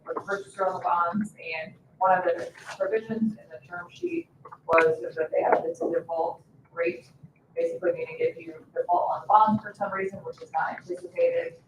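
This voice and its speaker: Higher-pitched, creaky man explaining finances. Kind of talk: informal explanatory presentation. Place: indoor public meeting room. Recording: echoey room, distant mic, faint noise.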